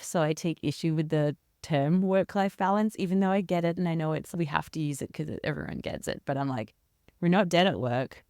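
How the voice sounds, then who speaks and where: Interview guest, woman, 40s, Australian accent, smooth resonant tone, conversational, dry close sound. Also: sits low, darkish tone, no room sound. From rural South Australia. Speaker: interview guest, leadership consultant and researcher.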